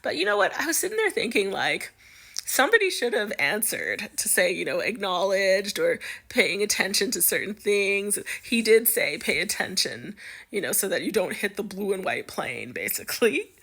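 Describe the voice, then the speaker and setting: A woman's voice: mid-range voice, very animated, moderate pace, creaky, pushed and bright, husky. A woman giving casual, chatty news commentary in an amused, conversational way, as if musing aloud.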